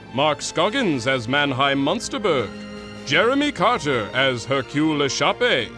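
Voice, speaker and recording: A man announcing in the scripted style of an old-time radio adventure serial. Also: a little high. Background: theme music.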